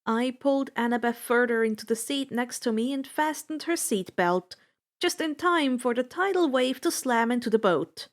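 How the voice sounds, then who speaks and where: A woman reading a first-person adventure story aloud as an expressive, dramatic narrator. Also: on the high side, normal speed. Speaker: audiobook-style fan fiction reader.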